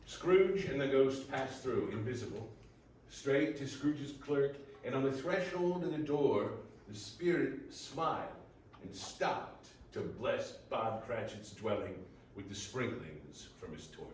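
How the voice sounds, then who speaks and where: A man narrating a scripted story for readers theater in a measured, theatrical way. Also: mid-range voice, lively intonation, a little mellow. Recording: very echoey room, distant mic, faint noise.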